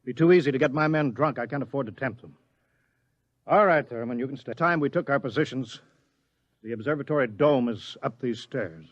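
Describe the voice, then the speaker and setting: An actor playing a tense, commanding observatory director in a radio drama, urgent and authoritative. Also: male voice, mid-range voice, brisk, dull audio, close to an everyday voice. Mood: stern. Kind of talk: mid-century radio drama acting.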